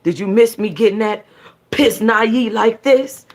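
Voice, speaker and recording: A woman's voice: a little high, a little slow. A woman voicing a blunt, slangy, streetwise and protective boyfriend in an audiobook-style story reading. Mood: lustful. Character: streetwise young man, lustful, protective boyfriend.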